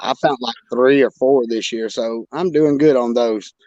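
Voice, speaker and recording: A man's voice: mid-range voice, normal speed, highs cut off, crowd noise. A man chatting casually as a hobbyist guest on a livestream video call, easygoing and given to repeating himself. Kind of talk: casual chat. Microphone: internet video call.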